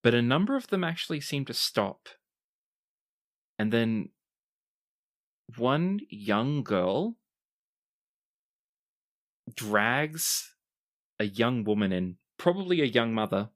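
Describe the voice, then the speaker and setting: This is a game master at a tabletop roleplaying session, with a mid-range voice. The narration comes in short, unhurried phrases with a dramatic storytelling feel. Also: medium pitch, sing-song.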